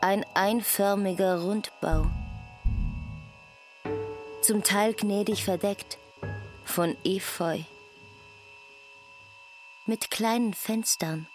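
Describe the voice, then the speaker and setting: A woman reads literary prose extremely slowly, setting down each phrase with deliberation. Her pitch is medium, and faint music plays behind her. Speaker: narrator. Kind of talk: slow scripted literary narration.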